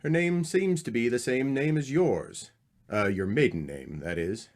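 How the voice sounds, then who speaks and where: Radio mystery husband, male actor: earnest, probing, slightly hesitant, highly varied intonation. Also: lively intonation, a little slow, darkish tone. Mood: probing, uneasy. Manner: questioning, hesitant. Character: earnest, uneasy husband, a book editor. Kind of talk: old-time radio mystery acting.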